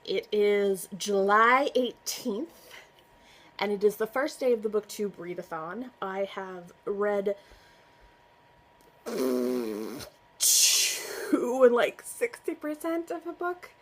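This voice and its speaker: A woman's voice: mid-range voice, expressive, takes it slowly, long pauses, rough recording. A woman talking casually to camera as a book vlogger, chatty and earnest, often trailing off and restarting. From United States. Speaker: booktuber. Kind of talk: casual book tag vlog.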